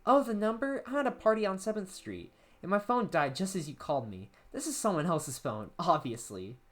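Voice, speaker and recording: A woman voicing a breezy, tipsy young woman coaxing someone on the phone in a horror story, with drawn-out, insistent phrasing. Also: fairly low pitch, very animated, pitched above the performer's own voice. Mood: casual, teasing. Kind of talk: acted dialogue in a horror story reading.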